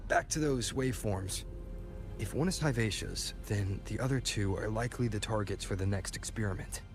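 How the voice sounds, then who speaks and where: Game protagonist: actor as a calm young male fighter, fairly low, few words, music loud behind. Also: normal speed, natural voice. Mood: composed. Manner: terse, composed. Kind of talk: video game voice acting.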